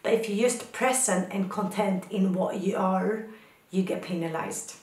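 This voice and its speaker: A woman's voice: mid-range voice, measured pace, a little mellow, reverberant. A woman from Sweden, a photographer, answering questions in a reflective personal talk with thoughtful, poetic phrasing.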